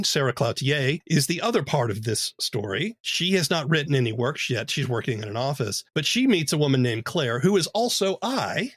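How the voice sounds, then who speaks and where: A man hosting an interview podcast, summarizing a story in a clear, measured way. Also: a little high, no echo, studio quality. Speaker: podcast host.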